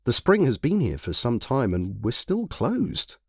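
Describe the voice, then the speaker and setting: A man voicing a proud, nostalgic old rollercoaster in a theatrical, measured storybook monologue with deliberate pauses. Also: mid-range voice, huge pitch swings, breathy edge, dry recording, clean studio sound, full character voice. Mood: wistful. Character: proud, nostalgic old rollercoaster, wistful veteran of a theme park. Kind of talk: dramatic first-person storybook monologue.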